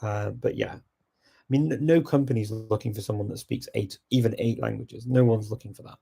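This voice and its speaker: Male host, husky and mellow, relaxed chat-style advice to viewers. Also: fairly low pitch, normal speed. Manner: relaxed, reflective advice-giving. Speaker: host answering viewer questions. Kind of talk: casual live Q&A chat.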